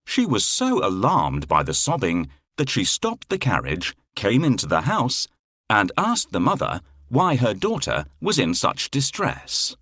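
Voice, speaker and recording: A man narrating a children's fairy tale in an expressive, theatrical, deliberate way. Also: on the low side, no room sound, clean studio sound. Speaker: storyteller.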